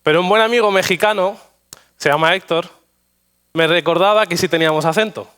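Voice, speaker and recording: A man with a Madrid Spanish accent gives a church sermon, his voice crisp and smooth and pitched a touch high. He mixes personal stories and jokes at his own expense into the preaching. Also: a little fast. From Spain. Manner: warm storytelling, self-deprecating humor. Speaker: guest preacher. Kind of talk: anecdotal sermon with prayer. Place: church sanctuary.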